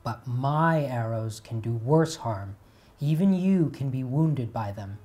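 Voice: medium pitch, moderate pace, darkish tone, close to an everyday voice. A narrator doing a sly, menacing love god. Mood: ominous.

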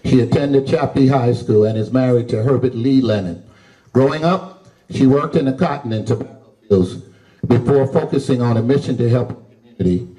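A man's voice: mid-range voice, dark tone, far from the mic. Older male historian, folksy lecture, heard from across a library hall. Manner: folksy, unhurried, conversational. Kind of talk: public talk to a library audience. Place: large library room with an audience. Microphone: handheld mic at a podium.

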